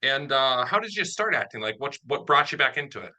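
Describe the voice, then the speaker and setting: A man's voice: medium pitch, big pitch swings, brisk, pushed and bright. An American man from northern Wisconsin, hosting and interviewing in a casual conversational style. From northern Wisconsin, United States. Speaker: interviewer and channel host. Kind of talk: casual spoken introduction and interview chat.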